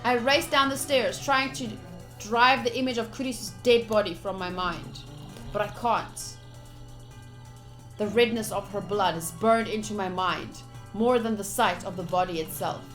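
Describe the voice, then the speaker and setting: A woman's voice: medium pitch, unhurried, a bit bright. A woman streamer reading visual novel text aloud, with casual commentary of her own. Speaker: game streamer. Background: game music.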